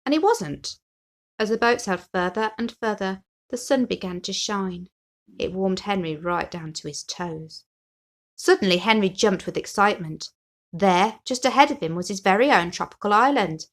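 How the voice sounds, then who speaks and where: A woman narrates a children's storybook. Her voice sits at a medium pitch, and she reads expressively, telling the tale for young listeners. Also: moderate pace. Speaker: story reader.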